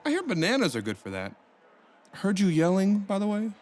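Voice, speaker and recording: A man's voice: high-pitched, huge pitch swings, brisk, slight background noise. An actor playing a cheerful male counselor who talks in a playful, bubbly way full of puns, in a comedic audio drama.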